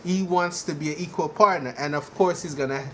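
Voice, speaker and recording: Man, fairly high voice, casual vlog commentary, halting and repetitive, lightly noisy amateur recording. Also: sharp tone. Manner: halting, repetitive, frequently says "you know". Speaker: fan vlogger giving a TV show reaction.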